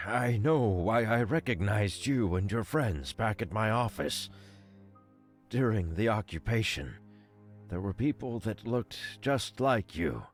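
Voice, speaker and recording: Man voicing a slow, low, remorseful old soldier. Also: animated, soft background music. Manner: slow, grave, pleading. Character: remorseful, weary male furry ex-soldier, pleading earnestly. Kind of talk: visual novel character voice.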